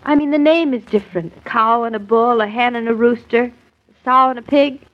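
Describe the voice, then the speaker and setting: A woman's voice: a little high, a little fast, close to an everyday voice. An actress playing a naive, playful young wife in a 1940s radio drama, with a girlish, guileless way of talking. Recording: dull, lo-fi 1940s radio broadcast.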